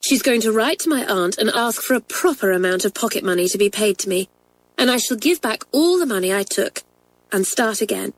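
A woman's voice: mid-range voice, animated, brisk, no echo, voice pushed up, lightly acted, poor audio. A woman voicing a proud but remorseful schoolgirl who speaks in a sincere, subdued way in a storybook reading.

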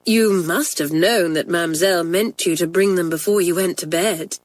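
Woman voicing a grave, deep-voiced headmistress. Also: expressive, no room sound. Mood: stern. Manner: serious, measured. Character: grave, authoritative middle-aged headmistress.

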